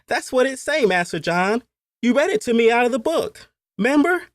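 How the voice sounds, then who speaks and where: A man's voice: very high voice, sing-song, normal speed, dry recording, studio quality, voice pushed up. A man voicing an earnest young enslaved boy who speaks in rustic dialect, in an audiobook story. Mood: earnest, insistent.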